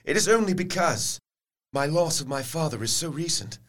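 Casting: grieving young aristocrat in period audio drama, courteous, emotional, fairly high male voice. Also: expressive, a bit bright. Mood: pained. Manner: formal, emotional, halting. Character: bereaved young English nobleman, courteous and heartbroken.

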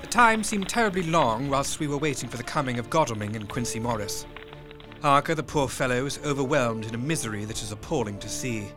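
A man's voice: medium pitch, fairly quick, lightly acted. An actor narrating as a troubled Victorian doctor writing his diary, grave and sympathetic, over faint music. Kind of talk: audio drama narration.

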